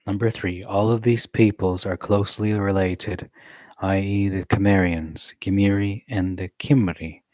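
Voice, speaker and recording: A man reading a study text aloud in a measured way, adding occasional joking asides. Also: low-pitched, fairly even intonation, a little mellow, no echo.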